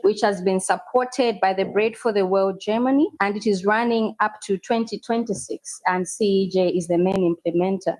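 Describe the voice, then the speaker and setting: A woman representing an organization, giving a slow, deliberate formal speech at an event. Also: medium pitch, darkish tone, poor audio. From Zambia.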